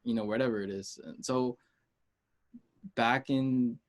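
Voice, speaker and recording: A man from the United States chatting casually as an interview guest, informal and rambling, pausing to gather his thoughts. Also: medium pitch, steady tone. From United States, Peruvian heritage. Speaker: interview guest, music artist.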